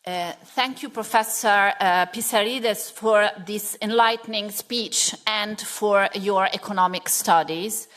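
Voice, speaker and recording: A woman's voice: mid-range voice, slow, few pauses, a bit bright. Italian-accented woman, middle voice, polite and hesitant delegate. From Italy.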